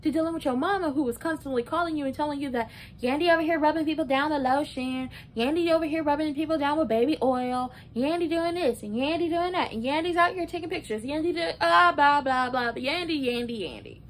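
A woman's voice: fast talker, hardly any pauses. A woman in her early twenties giving casual, opinionated and emphatic recap commentary, talking conversationally like a video blogger. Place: small bedroom, indoors. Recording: noisy room with low rumble.

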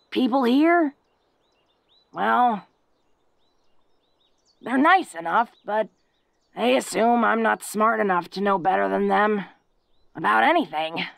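A woman's voice: a little high, creaky, no echo, full character voice. A woman playing a tough but awkward, tender-hearted young female orc in a romantic audio roleplay, speaking in a folksy, hesitant, earnest way.

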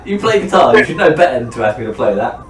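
West country guy in his 20s, dry teasing banter, lively up and down voice, roomy echo. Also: medium pitch, quick pace, far from the mic, slight background noise. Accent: West Country English. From Somerset, England. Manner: teasing, dry, laughs often. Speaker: guitarist chatting with strangers. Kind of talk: casual banter on video chat.

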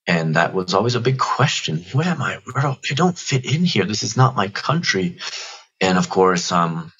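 Deep-voiced man in his forties. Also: big pitch swings, moderate pace, fairly bright tone, highs cut off, slight background noise. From Toronto, Canada. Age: 40s. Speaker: podcast guest, activist spokesperson. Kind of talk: reflective personal storytelling.

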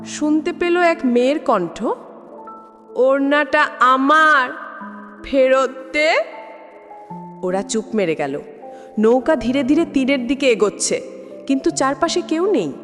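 A woman reading a scripted ghost story in a dramatic, suspenseful way. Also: a little high. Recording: long reverb, clear background music. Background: music.

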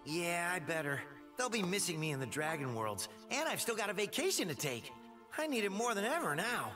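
A man's voice: high-pitched, sing-song, fairly quick. An actor voicing a cheerful, cocky young male dragon hero in a video game. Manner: cheeky and upbeat. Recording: game audio with music behind.

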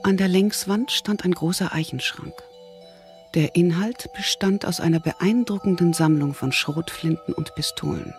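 A male narrator with a rather high voice reads a scripted thriller audio drama. Clear music plays under his narration. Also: normal speed, a little mellow.

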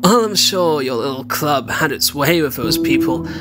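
An actor playing a mad invisible scientist in a horror audio drama, gloating, taunting and grandiose. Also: male voice, fairly high pitch, lively intonation, moderate pace, natural voice. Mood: mocking. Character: mad invisible male scientist turned gloating, menacing predator. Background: music.

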